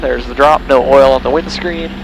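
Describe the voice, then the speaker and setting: A man's voice: medium pitch, steady tone, moderate pace, gravelly. A male pilot calling out his preflight checks in clipped, matter-of-fact phrases from a small plane cockpit. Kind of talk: running a preflight checklist aloud. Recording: engine noise in cockpit.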